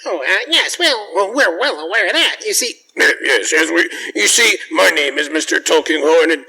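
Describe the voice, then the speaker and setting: A man playing a fussy, polite gentleman lawyer in a radio drama, hesitant and stammering with very formal speech. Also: fairly high pitch, expressive, moderate pace, full character voice. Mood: flustered, courteous.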